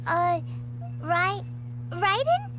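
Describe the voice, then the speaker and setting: A woman voicing a small, childlike fairy companion in a video game, cheeky, blunt and excitable. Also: very high-pitched, very slow, noisy background, full character voice. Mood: curious, puzzled. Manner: speaks of self in third person, blunt, teasing. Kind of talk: video game character acting.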